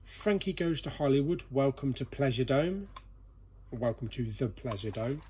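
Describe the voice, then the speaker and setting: A man's voice: medium pitch, expressive, moderate pace, mellow, a little hiss or hum. A man hosting a casual vlog in a jokey, chatty way as he sorts through a collection. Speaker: vlog host. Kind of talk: casual chat while going through a collection. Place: indoor storeroom full of boxes.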